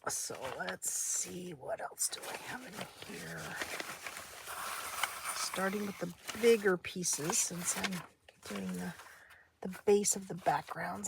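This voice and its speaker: A woman talks casually through a craft project in a gravelly, fry-edged voice that is slightly nasal and stuffy. She takes her time, hesitating and correcting herself as she thinks aloud. Clear background noise and small clicks sit behind her. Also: lowish voice. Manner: hesitant, meandering, self-interrupting. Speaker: craft video host. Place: indoors at a craft desk.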